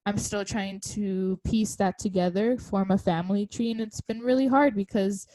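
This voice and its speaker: Mellow, earnest woman podcaster. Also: medium pitch, fairly even intonation, normal speed, dry recording, poor audio. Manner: earnest, emphatic. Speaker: podcast co-host. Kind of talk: casual conversation.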